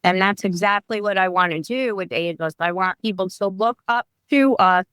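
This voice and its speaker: A woman's voice: mid-range voice, normal speed, a bit bright, clear, resonant tone, no room sound, clean studio sound. A woman in her fifties, a wellness coach talking as a guest in a podcast interview, chatty and often restarting her sentences. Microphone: remote call.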